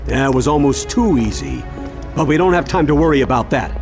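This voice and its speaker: An actor playing a protective, level-headed grandfather who leads the action in an animated video game, speaking with earnest authority. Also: medium pitch, fairly quick, vocal fry. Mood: grave, determined. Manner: commanding, earnest. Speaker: cartoon game character. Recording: loud music behind the voice.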